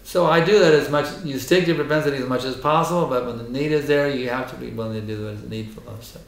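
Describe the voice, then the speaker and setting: Hoarse man, spiritual teacher, emphatic lecture, mid-pitched voice, roomy echoing hall. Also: fast talker. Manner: emphatic, insistent, repeats his points. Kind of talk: temple lecture with questions and answers. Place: temple hall. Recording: echoey hall.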